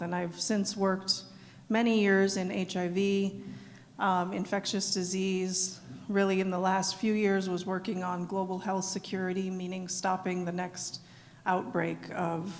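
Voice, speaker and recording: A woman's voice: fairly low pitch, slight background noise. A middle-aged woman from South Dakota, answering questions at a live evening event in an earnest way, with frequent ums. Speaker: executive director being interviewed. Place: event hall. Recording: long hall reverb.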